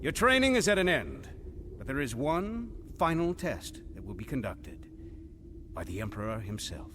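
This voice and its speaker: A man's voice: medium pitch, big pitch swings, moderate pace, background noise, lightly acted. A man playing a stern imperial military commander in an audio drama, formal, ceremonious and declamatory. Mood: stern, solemn.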